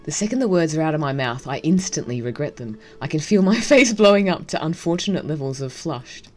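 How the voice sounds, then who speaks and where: A woman's voice: low voice, animated, brisk, darkish tone, faint music behind. A woman reading a game's narration aloud with casual commentary, wry and amused. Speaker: game streamer.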